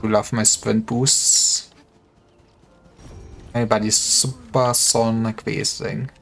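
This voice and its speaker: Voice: medium pitch, level tone, slow, gravelly, faint music behind. A game streamer chatting casually on a livestream, thinking aloud and breaking off mid-thought. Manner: thinking aloud, self-interrupting.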